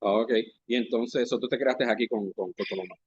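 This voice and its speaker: A man hosting a podcast interview, asking questions in a halting, conversational way. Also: medium pitch, breathy edge, dry recording. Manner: conversational, halting, full of fillers and restarts. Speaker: podcast host. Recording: dry, slightly dull podcast audio.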